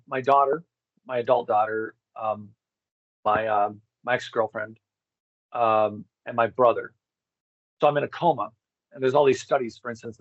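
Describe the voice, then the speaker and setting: A man's voice: mid-range voice, dry recording, highs cut off. A middle-aged man telling personal stories in a candid, rambling, profane way as an interview guest. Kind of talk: casual personal storytelling.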